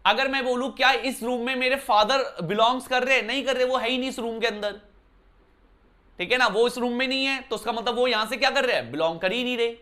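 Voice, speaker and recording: A man teaching maths in a bright, clear voice, talking to his students casually. He explains step by step and keeps asking them whether they follow. He is from Uttarakhand and records indoors. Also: extremely high pitch, a little fast. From Uttarakhand, India. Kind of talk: classroom lesson explanation. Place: indoor room.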